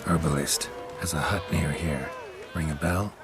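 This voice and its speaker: Casting: deep-voiced laconic fantasy hunter, flat deadpan delivery, video game scene over music. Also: male voice, normal speed, a crowd in the background. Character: stoic, terse male monster hunter. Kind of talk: video game character acting. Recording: loud music behind.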